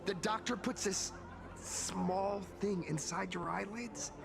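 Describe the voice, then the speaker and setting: A voice actor playing a nervous cult insider in a video game, stammering and halting. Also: medium pitch, gravelly, music in the background. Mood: uneasy.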